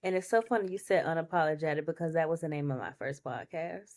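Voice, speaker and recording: A woman's voice: sits low, quick pace, a little mellow. A woman hosting a casual podcast interview, chatting in an informal, slangy and affirming way. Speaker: podcast host.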